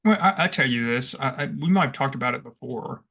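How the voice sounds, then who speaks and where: Lively bright-voiced young man telling stories. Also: mid-range voice, fast, creaky. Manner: animated, acts out past shouts; rambling with restarts and laughs. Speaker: podcast co-host. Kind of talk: casual storytelling chat.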